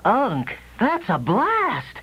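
A man's voice: very high voice, wildly swooping pitch, drawn-out words, sharp tone, breathy edge, exaggerated voice. A man playing a hapless nephew with a stuffy, nasal head cold in an old radio commercial. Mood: stuffy, eager. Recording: dull vintage radio commercial recording.

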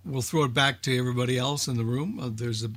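A man's voice: mid-range voice, quick pace, a bit bright. A man hosting an informal group discussion, chatting casually with the others. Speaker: host.

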